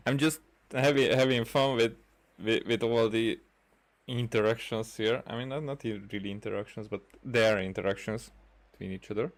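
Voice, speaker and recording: Low-voiced young man commenting on a game. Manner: halting, repeats words. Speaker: let's player streaming a playthrough. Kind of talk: casual game commentary, reading some dialogue aloud.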